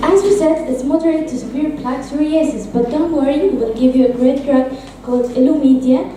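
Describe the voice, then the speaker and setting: A young woman student presenting as a pharmacist, calm and reassuring as she explains a drug. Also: high voice, brisk, background noise. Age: young adult. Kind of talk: class presentation and role play. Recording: echoey room from far off.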